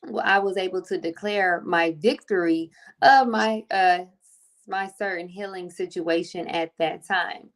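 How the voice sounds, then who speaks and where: A woman's voice: fairly low pitch, a little slow. Effusive woman hosting a faith podcast. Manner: effusive, repeats her welcomes and praise. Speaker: podcast host. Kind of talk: casual faith podcast chat.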